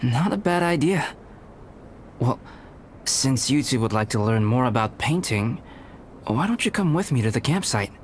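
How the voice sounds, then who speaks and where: A male actor playing a calm, reserved young alchemist in a video game, speaking in a measured, formal, analytical way. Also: medium pitch, a little hiss or hum, lightly acted. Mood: calm, polite. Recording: background music under the voice.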